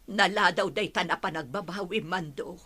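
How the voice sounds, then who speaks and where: Radio drama role: accusing, heartsick wife, tense bright voice, full emotion. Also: female voice, on the low side, normal speed, husky. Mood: blaming. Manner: reproachful, emotional. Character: anguished, blaming wife and mother.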